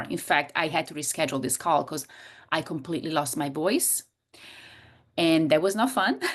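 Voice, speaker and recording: A woman's voice: deep voice, a bit bright. A woman in her thirties from Italy with an Italian accent, talking expressively as an interview guest and sometimes searching for words. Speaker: guest, coach and hypnotherapist. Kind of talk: casual interview conversation.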